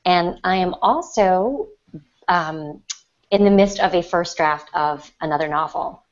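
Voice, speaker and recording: A woman's voice: on the low side, unhurried. A woman from the Ozarks, a writer, talking thoughtfully and conversationally as a podcast guest. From Ozarks, United States. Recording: dull lo-fi online call audio.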